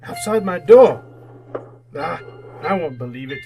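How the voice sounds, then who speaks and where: A man performs a cantankerous old miser in an old-time radio play. The voice sits a little high for a man and grumbles and sputters theatrically. Faint music plays behind it. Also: lively intonation, measured pace, vocal fry, mellow. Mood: uneasy, frightened.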